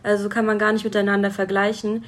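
A woman's voice: medium pitch, monotone, quick pace. Young woman, podcast host, casual chat, lots of fillers. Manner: friendly, many fillers, affirming hums. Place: guest's home in a city flat.

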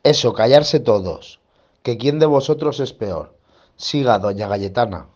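A man's voice: medium pitch, animated, unhurried, darkish tone. A man from Spain playing a blunt, mocking, principled hippie youngest brother in his thirties in a radio soap. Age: 30s. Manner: blunt, disapproving. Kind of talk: amateur radio soap acting. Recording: dull lo-fi sound.

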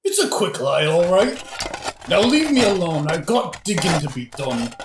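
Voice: mid-range voice, big pitch swings, normal speed. An actor in a satirical audio play playing a blunt, drunk, rambling old-hand gravedigger with a flippant, matter-of-fact manner. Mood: flippant, evasive. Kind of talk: satirical modern-language audio play acting. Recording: echoey room with faint noise.

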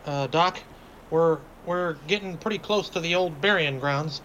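A man's voice: fairly high pitch, measured pace, a bit bright. A man playing a nervous, superstitious small-town sidekick in old-time radio horror style, folksy, stammering and pleading. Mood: uneasy. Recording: noisy, dull homemade radio drama recording.

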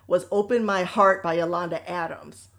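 A woman co-hosting a casual talk show, telling a story with lively, laughing energy. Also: on the low side, moderate pace, gravelly.

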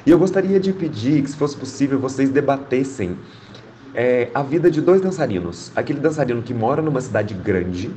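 A man's voice: medium pitch, animated, brisk, warm tone, slight background noise. A man from Minas Gerais, Brazil, politely and earnestly asking a question in a recorded voice message. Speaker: listener sending a voice message.

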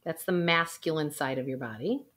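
Calm woman guiding a breathing exercise. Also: low voice, moderate pace. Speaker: intuition coach. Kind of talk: guided exercise for viewers. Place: indoors, recording a video.

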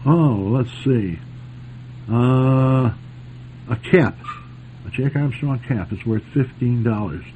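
A man's voice: medium pitch, slow pace, long pauses, mellow. A man hosting a radio show, chatting casually and jokingly about old-time radio. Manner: teasing, joking. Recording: noisy phone-like lo-fi radio sound.

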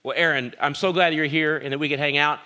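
Pastor hosting a church interview. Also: male voice, a little high, fairly even intonation, fast, noisy background. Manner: friendly, stumbling and repeating words.

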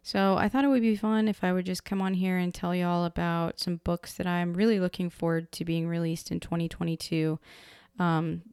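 A woman chats her way through a book podcast, rambling and full of fillers. Her voice is hoarse, low and gravelly, yet smooth underneath, and it sits dry and close to the mic. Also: flat delivery, normal speed, darkish tone, no echo. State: hoarse from illness. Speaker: podcast host. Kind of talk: casual book chat.

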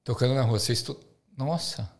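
A man's voice: medium pitch, fairly quick. A man from Brazil hosting a podcast, asking curious questions and finishing his guest's sentences. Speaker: podcast host. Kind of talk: casual interview chat.

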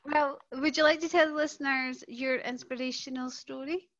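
A woman's voice: high-pitched, fairly even intonation, normal speed, a bit bright, highs cut off. A woman hosting a podcast, introducing and interviewing a guest in an encouraging way. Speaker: podcast host.

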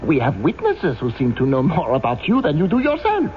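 A man's voice: on the high side, huge pitch swings. An actor playing a sneering public prosecutor in a radio drama, mocking and theatrical, drawing out his words. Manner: mocking, emphatic, drawn-out. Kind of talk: old-time radio drama acting. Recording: dull old radio recording, faint hiss.

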